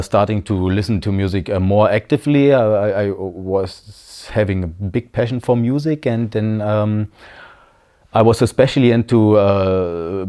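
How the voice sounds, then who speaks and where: A man in his forties from East Berlin with a German accent, answering interview questions slowly in English, pausing often with ums and uhs as he searches for words. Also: deep voice, mellow, smooth. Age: 40s. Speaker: interviewee, noise musician.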